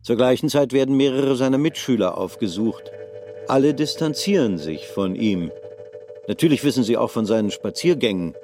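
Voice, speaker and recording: A man narrating a radio drama in a calm, measured reading voice. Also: mid-range voice. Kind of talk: scripted narration.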